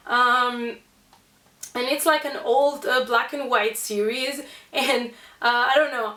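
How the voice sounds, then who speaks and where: A woman's voice: sits high, sharp tone. A woman chatting casually to viewers as a vlog host, enthusiastic and talkative. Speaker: video host showing a convention haul. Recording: roomy echo, distant microphone.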